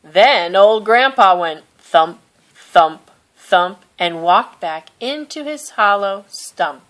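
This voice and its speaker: Woman, children's storybook read-aloud, unhurried, animated intonation, gentle storyteller, mid-pitched voice. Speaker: storybook reader.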